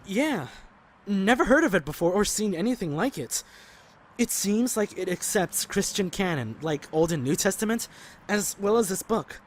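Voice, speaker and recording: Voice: medium pitch, lively intonation, normal speed, natural voice. An actor playing a bookish, easygoing traveler in an audio drama, casual and conciliatory. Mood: mild, curious.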